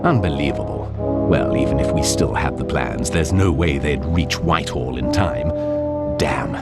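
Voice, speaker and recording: An actor playing an irascible British colonel in a video game, brusque, commanding and exasperated. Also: male voice, a bass voice, moderate pace, creaky. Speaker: imprisoned colonel. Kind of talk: video game cutscene acting. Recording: loud music behind the voice.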